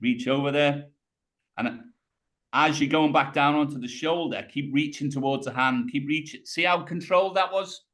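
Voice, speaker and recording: A man's voice: fairly high pitch, normal speed, fairly bright tone. British male rehab coach, 50s, patient step-by-step cueing, webcam audio. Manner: encouraging, talks a client through each movement step by step, says mate. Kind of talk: coaching exercise over video call. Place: home room, on the floor. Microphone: video call webcam.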